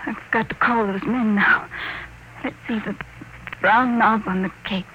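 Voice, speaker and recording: A woman's voice: medium pitch, fairly even intonation, unhurried, muffled recording, close to an everyday voice. A woman acting an anxious, timid young secretary in an old radio drama, hesitant and deferential. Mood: distraught. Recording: noisy old radio broadcast.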